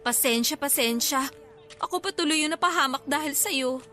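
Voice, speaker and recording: High-pitched, sarcastic young woman. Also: normal speed, sharp tone. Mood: annoyed, sarcastic. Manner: scolding, sarcastic, blunt. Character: irritable, sarcastic injured young woman, restaurant head cook. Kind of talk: Filipino radio drama acting. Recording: music bed behind the voice.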